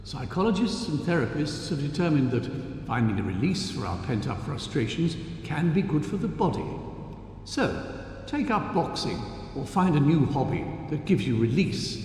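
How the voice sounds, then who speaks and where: A man playing a formal, measured news announcer giving cryptic, eerie advice in a horror game. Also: fairly high pitch, very animated, darkish tone. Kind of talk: scripted broadcast announcement. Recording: echoey hall, long reverb, faint noise.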